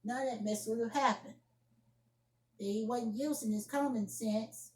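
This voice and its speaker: A woman acting an indignant young woman in a solo amateur monologue, scolding and defending herself emphatically with repetitions and halting restarts. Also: on the high side, natural voice. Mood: defensive. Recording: echoey room.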